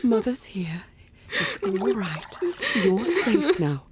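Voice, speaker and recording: A woman playing a protective mother who soothes her child in a hushed, tender way, in an audio drama. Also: mid-range voice, very animated, slow pace, a loud crowd. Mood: soothing.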